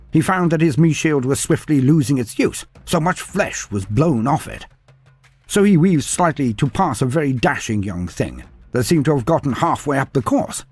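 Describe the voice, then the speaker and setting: A man narrating a scripted fantasy tale in a theatrical, deliberate storytelling manner with florid phrasing. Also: medium pitch, breathy edge, no echo.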